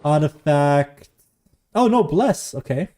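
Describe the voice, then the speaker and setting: A woman's voice: low voice, expressive, slow, warm tone. A woman giving casual gameplay commentary, understated and musing to herself as she plays. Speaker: game streamer.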